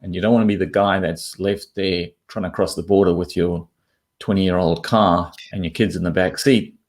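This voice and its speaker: A man's voice: low voice, fairly even intonation, moderate pace, darkish tone. A man from southern Africa explaining his opinions as an interview guest, talking bluntly and informally with casual swearing. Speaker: interview guest, investment commentator.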